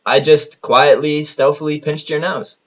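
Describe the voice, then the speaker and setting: A man's voice: on the high side, fairly even intonation, a little slow, fairly bright tone, breathy edge, voice pushed up. A man voicing a brash, playful female pegasus pony in an audio story, teasing and smug. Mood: smug mischief. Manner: teasing, exuberant.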